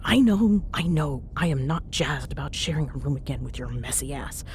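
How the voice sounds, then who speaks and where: A woman playing a teasing, bossy but fond young ghost hunter in an intimate ASMR roleplay, bantering sarcastically in a casual, murmuring way. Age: young adult. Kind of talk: ASMR audio roleplay, intimate one-sided chat. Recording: clear background noise with low rumble.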